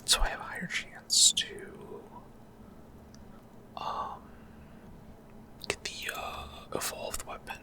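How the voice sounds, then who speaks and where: A man speaks in an unvoiced whisper, giving casual, halting ASMR commentary on gameplay and explaining as he goes, close to the microphone. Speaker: gaming video host.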